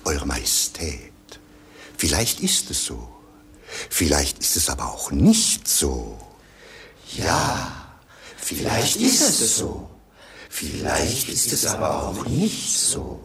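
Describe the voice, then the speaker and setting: A man's voice: very deep voice, wildly swooping pitch, takes it slowly, gravelly, a bit bright, exaggerated voice. A male actor playing a grave, ponderous, noncommittal old council president in a 1950s radio play. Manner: ponderous, solemn. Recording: faintly noisy 1950s radio recording.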